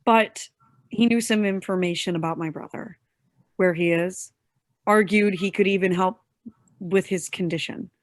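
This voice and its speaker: A woman's voice: on the low side, steady tone, measured pace, no echo, natural voice. Bitter young henchwoman, played by an actress, dry close sound. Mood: worried. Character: bitter, defiant young woman turned reluctant henchwoman. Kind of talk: staged play reading.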